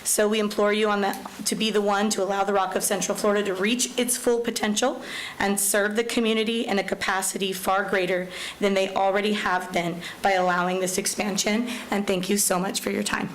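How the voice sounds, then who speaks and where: A woman school principal with a loud voice, speaking at a public hearing and reading a letter aloud. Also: medium pitch, level tone, a little fast. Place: county commission chamber. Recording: echoey chamber with faint hum.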